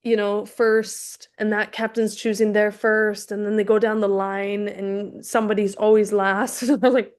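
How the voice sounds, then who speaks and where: Hoarse young woman with a cold, fitness coach chatting on a live stream, lots of restarts. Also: mid-range voice, level tone, normal speed, hardly any pauses, a little mellow. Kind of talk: casual live-streamed talk to a chat.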